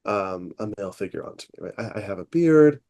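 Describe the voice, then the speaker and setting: A man who works as a therapist, co-hosting a podcast and speaking candidly and reflectively in a relaxed chat. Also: fairly quick, gravelly. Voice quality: relatively deep voice. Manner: reflective, candid, frequent fillers. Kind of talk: casual chat.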